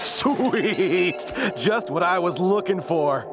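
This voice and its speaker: A man's voice: high-pitched, expressive, takes it slowly, loud music behind the voice, exaggerated voice. A man voicing a cocky, taunting, wisecracking fighter in an action cartoon. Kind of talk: animated action voice acting.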